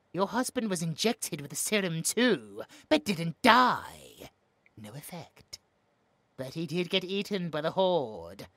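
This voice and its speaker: A man's voice: fairly high pitch, fairly bright tone, dry recording, heavily acted. A British man playing a smug, cruel mad scientist in a horror audio roleplay, with a mocking, snobbish manner. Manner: mocking, snobbish, drawn-out musing.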